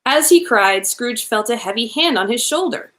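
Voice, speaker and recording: A woman with a fairly high voice reads a storybook aloud for children. Her delivery is expressive and animated, in the playful manner of a bedtime storyteller. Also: moderate pace. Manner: animated, with playful asides to listeners.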